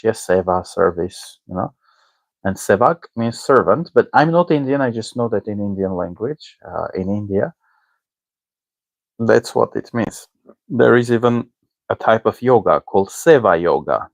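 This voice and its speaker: A man whose native language is Armenian, giving casual commentary as a discussion host in a hesitant, halting way, often checking in with the listener. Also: on the low side, no echo. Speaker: online teacher and discussion host. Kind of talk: casual spoken commentary on a book.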